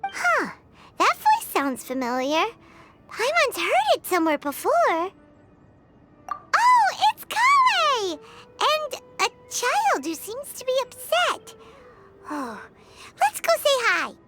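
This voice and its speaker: Squeaky little fairy girl, very high. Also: wildly swooping pitch, slow pace, long pauses, over loud music, heavily acted. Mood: excited. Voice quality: squeaky, childlike. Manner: exclamatory, refers to self in third person. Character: tiny floating fairy girl companion, childlike, chirpy, eager. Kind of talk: anime video game voice acting.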